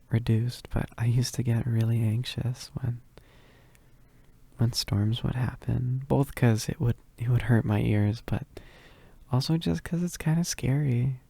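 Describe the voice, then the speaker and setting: A man playing a shy, needy, gentle werewolf roommate in an intimate ASMR roleplay, hesitant and stammering. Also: medium pitch, creaky, slightly rough, exaggerated voice. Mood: anxious, confiding. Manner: hesitant, stammering, bashful with soft chuckles.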